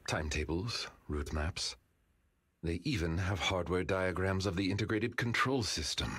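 A courteous werewolf butler from a video game. His low voice is formal and measured, never hurried, with clear music underneath. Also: a bit bright, no room sound, studio quality. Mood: calm, formal.

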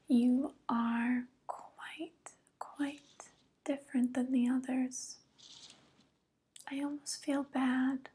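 A woman's voice: sits high, husky, exaggerated voice. A young woman playing an evil, ageless witch in an ASMR roleplay, whispering breathily and close with condescending mock pity. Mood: feigned regret. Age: young adult. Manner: slow, hushed, condescending, mock-pitying. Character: evil 142-year-old witch who looks young, coolly predatory. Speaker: ASMR performer. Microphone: close microphone.

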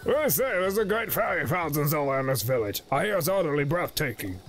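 Man acting traveling male painter, video game, earnest, wistful, determined, fairly high voice, light music. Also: sing-song, quick pace, fairly bright tone. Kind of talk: voicing video game dialogue.

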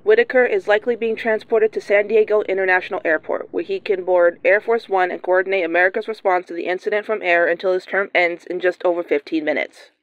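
A woman playing a radio newscaster, reading breaking news in a steady, formal manner. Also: lowish voice, fast talker, hardly any pauses, lightly acted. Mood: urgent. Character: composed female radio newscaster. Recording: dull lo-fi sound.

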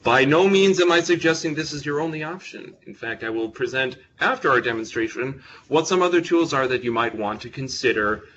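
A young man with a bright, forceful voice presents to a room, talking casually and joking at his own expense. He stands close to his mic, so the sound is full, though the recording is a little dull with faint room noise underneath. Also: medium pitch, lively intonation, brisk, few pauses. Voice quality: big voice. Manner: conversational, self-deprecating humor. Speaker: presenter at a user group meeting. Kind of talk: technical talk to a live audience. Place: meeting room with an audience. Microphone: close microphone.

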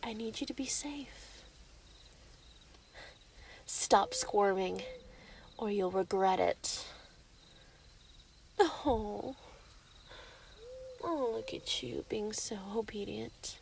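A woman playing an obsessive, possessive young werewolf in love in an intimate ASMR roleplay, cooing and menacing in breathy half-whispers. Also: mid-range voice, very slow, long pauses, darkish tone, slight background noise. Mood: sly, threatening.

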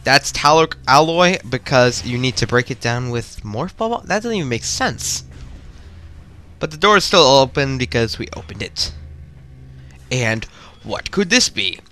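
A man giving casual, excitable, rambling commentary while playing through a video game. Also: mid-range voice, a little slow. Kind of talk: casual let's-play commentary.

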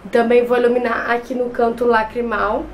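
A woman's voice: fairly high pitch, level tone, a little fast, slight background noise. Young woman with a cold, chatty. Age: young adult. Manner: chatty, repeats words, hesitant restarts. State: has a cold. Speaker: beauty vlogger. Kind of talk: makeup tutorial talk. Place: small bedroom with shelves and a clothes rack. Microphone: camera close in front.

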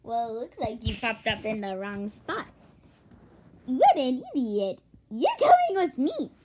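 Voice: medium pitch, moderate pace, natural voice. A young reader voicing a blustering, bullying, indignant guard from a comic storybook. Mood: angry, blustering. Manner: shouted insults, indignant.

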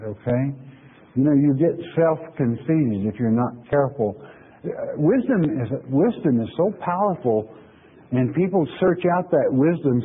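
Guy preaching a laid-back bible lecture, bit husky, keeps asking "okay?", sounds like it's coming through a phone. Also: mid-range voice, narrow, tinny audio. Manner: folksy, conversational preaching with frequent "okay?" check-ins; reads verses slowly, then explains them plainly. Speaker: pastor. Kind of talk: sermon lecture on scripture.

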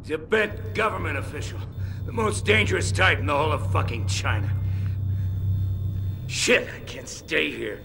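An actor playing a jittery, volatile middle-aged criminal in a video game, agitated and swearing. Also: male voice, on the high side, sing-song, normal speed, gravelly, long pauses. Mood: tense, evasive. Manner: agitated, profane. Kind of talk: video game cutscene acting. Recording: gunfire and city noise behind.

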